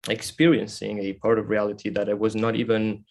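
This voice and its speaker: Low-pitched Italian man with creaky voice. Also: monotone, a little fast, darkish tone. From Italy. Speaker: guest speaker. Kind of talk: informal spoken presentation.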